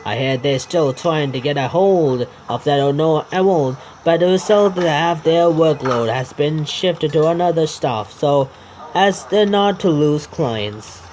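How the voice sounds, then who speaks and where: Man reading slightly high. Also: expressive, a little slow, a little mellow, a little hiss or hum, poor audio. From India. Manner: stumbles and repeats words, mutters asides. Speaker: gaming YouTuber reading a visual novel aloud. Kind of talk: read-aloud game narration with asides.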